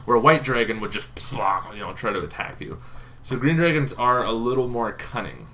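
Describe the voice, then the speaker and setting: A man's voice: medium pitch, lively intonation, moderate pace, bright, forceful tone, a little hiss or hum. A young man casually explaining tabletop game monsters, rambling with frequent ums and restarts. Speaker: tabletop game video host.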